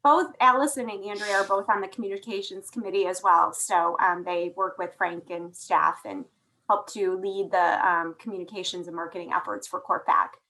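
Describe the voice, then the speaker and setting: A woman's voice: mid-range voice, steady tone, normal speed, sharp tone, low-quality recording. A woman hosting a video call meeting, calling on people in turn with many fillers. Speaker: meeting host.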